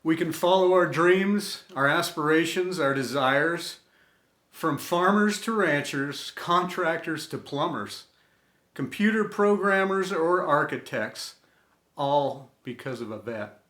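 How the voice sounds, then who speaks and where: Slow, earnest man in an echoey room. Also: on the high side, expressive, a bit bright. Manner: earnest, deliberate, phrase-by-phrase delivery with pauses. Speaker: storyteller and host of an inspirational series. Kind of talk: scripted inspirational tribute reading.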